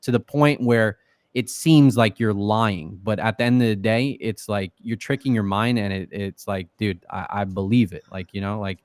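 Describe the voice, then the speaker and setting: Casual profane man, low voice, dry close sound, filler-heavy podcast guest. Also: fairly even intonation, moderate pace, darkish tone, dry recording. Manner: loose and profane, many fillers. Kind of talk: casual interview talk.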